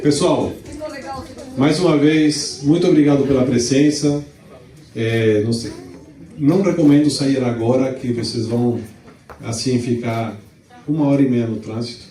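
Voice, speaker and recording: A middle-aged man from Venezuela, hosting a launch event in a relaxed, chatty way with restarts, speaking into a handheld mic in a hall. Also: medium pitch, normal speed, recorded from a distance. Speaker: event host. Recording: echoey hall, mic some way off.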